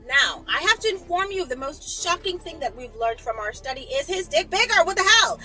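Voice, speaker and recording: A woman's voice: very high voice, very animated, brisk, bright, forceful tone, rough recording. A woman reading a story aloud as a channel host, breaking into casual, teasing and blunt asides.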